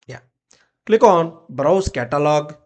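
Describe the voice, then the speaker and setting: Slow-paced software tutorial presenter. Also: mid-range voice, lively intonation. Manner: instructional, short clipped commands. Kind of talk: step-by-step screen-share tutorial narration.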